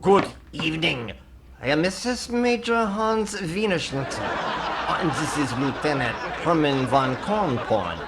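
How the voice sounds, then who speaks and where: A woman's voice: deep voice, expressive, measured pace, very noisy. A woman playing a stern, exasperated German military officer in an old-time radio comedy. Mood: commanding. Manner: bossy, commanding.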